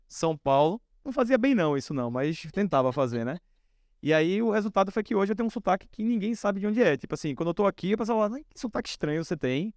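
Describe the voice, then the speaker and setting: A man in his forties from Northeast Brazil, a company founder telling stories from his early days in a relaxed, anecdotal way. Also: sits high, fast, no echo, clean studio sound. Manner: relaxed anecdotal, many fillers like "né". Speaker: founder and guest speaker. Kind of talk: storytelling on a stage talk.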